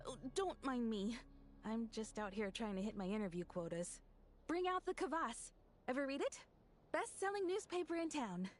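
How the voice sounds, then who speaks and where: Breezy game newspaper hustler, female actor, highish and animated, cheeky casual sales patter. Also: normal speed, a little hiss or hum, close to an everyday voice. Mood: breezy, playful. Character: cheeky, opportunistic female street newspaper reporter.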